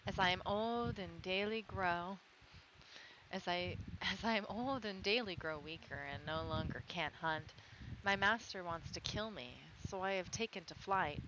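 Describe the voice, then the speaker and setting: A woman's voice: on the low side, measured pace, noisy background, lightly acted. A woman voicing a weary, plaintive old hound in a storybook reading. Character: weary old male hound, too weak to hunt.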